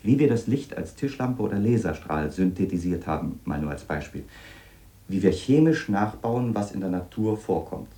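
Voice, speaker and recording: A man acting an eccentric, obsessed scientist who thinks aloud in a reflective, halting, explanatory way in a radio drama. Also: sits low, lively intonation, dark tone. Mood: explaining, musing. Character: obsessed eccentric male scientist, musing, earnest, self-doubting. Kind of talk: radio drama monologue in letter form.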